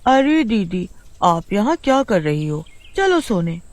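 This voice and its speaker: Woman playing younger sister, timid, fretful, childlike, raised pitch, lively melody, storybook. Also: slow pace. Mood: worried. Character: timid, questioning younger twin village girl. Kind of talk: storybook character voice.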